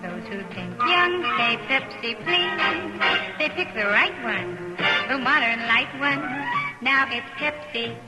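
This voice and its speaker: An American woman sings an old radio commercial jingle in a fairly high voice. The phrasing is slow and drawn out, with loud music all around her. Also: expressive, rough recording. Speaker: jingle singer. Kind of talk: vintage radio advertising jingle, sung.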